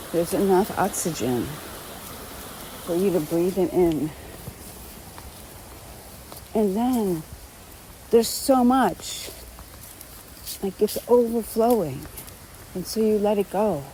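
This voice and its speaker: A woman leading a guided meditation in a gentle, unhurried manner, speaking unscripted instructions in short phrases with long pauses. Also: medium pitch, expressive, a little hiss or hum, poor audio.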